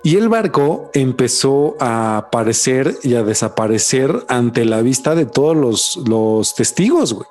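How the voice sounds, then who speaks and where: A man's voice: mid-range voice, a little slow, hardly any pauses, soft background music. A young Mexican man co-hosting a podcast, telling the story casually with lots of slang and filler. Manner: slangy, says güey constantly, animated storytelling. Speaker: podcast co-host. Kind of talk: casual chat.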